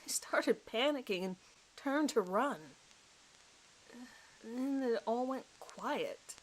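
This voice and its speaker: Horror audio drama: rattled male suspect played by a woman, halting, stammering, bewildered, pleading innocence. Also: a little high, animated, a little hiss or hum, pitched above the performer's own voice, poor audio. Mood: shaken recounting.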